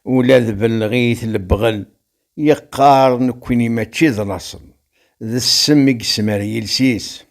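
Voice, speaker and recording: A man with a Kabyle accent reciting rhymed verse as a poet, in a measured, declamatory delivery. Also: mid-range voice, vocal fry.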